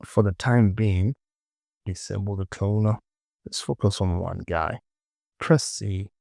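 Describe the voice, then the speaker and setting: Guy doing a casual screen tutorial, real slow, creaky voice, kinda mutters short steps as he works. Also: lowish voice, a little mellow, no echo, studio quality. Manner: informal, muttered short instructions to self and viewer. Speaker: tutorial instructor. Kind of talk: casual screen-recorded software tutorial, talking while working.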